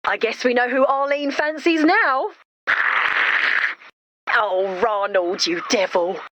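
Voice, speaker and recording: A woman's voice: on the high side, takes it slowly, muffled recording, very noisy. A woman playing an eager, kindhearted young inventor in a video game, bubbly and theatrical. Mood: earnest, defensive. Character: eager, kindhearted young female inventor, excitable and well-meaning. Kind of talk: animated video game character dialogue.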